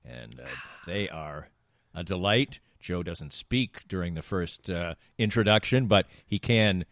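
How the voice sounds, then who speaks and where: A man's voice: sits low, animated, unhurried, fairly bright tone, no room sound, studio quality. A man hosting a podcast, moving between casual chat and sponsor reads in a wry, easygoing conversational way. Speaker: podcast host.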